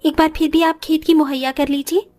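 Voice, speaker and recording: A woman's voice: high voice, steady tone, brisk, a little mellow, lightly acted. A woman voicing a humble, dutiful young village bride in a moral story cartoon, speaking politely and respectfully.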